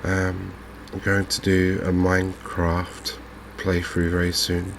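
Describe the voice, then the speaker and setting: Softly spoken English male game host, flat and hushed delivery, gravelly fry, faint background noise. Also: low voice. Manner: gentle, unhurried, hushed. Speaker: gaming video host. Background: fishing game ambience.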